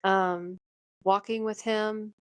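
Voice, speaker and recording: A woman in a band, answering an interview question about her faith in an earnest, hesitant way with many pauses and fillers. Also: medium pitch, steady tone, dry recording. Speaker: band member and church worship team singer.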